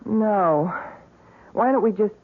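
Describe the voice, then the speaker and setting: A woman's voice: medium pitch, slow pace. An actress playing a young, bubbly but uneasy girlfriend in a 1970s radio drama. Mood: puzzled, uneasy. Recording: tinny old radio broadcast, noisy.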